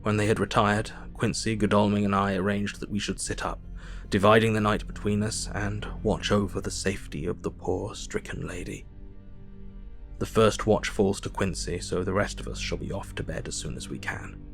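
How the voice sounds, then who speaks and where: A man playing a sombre, compassionate Victorian gentleman, narrating a diary in measured tones for an audio drama. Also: fairly low pitch, fairly even intonation, vocal fry, faint music behind.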